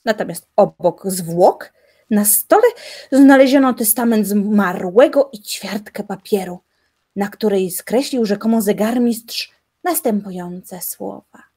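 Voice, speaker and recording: An actress playing a young woman who reads out grim newspaper notices in a deliberate, dramatic way. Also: mid-range voice, mellow, breathy edge. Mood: solemn, intrigued.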